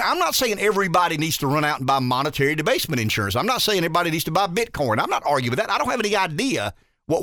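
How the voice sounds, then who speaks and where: A man's voice: on the high side, no room sound, clean studio sound. A man in his fifties hosting a talk radio show, musing aloud and asking rhetorical questions. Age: 50s. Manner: animated, rambling, rhetorical questions.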